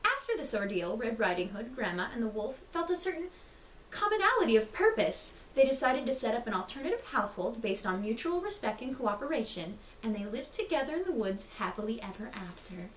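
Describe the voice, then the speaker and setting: Animated woman storyteller, higher-pitched voice. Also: brisk. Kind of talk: theatrical bedtime story reading. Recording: roomy, distant mic, faint noise.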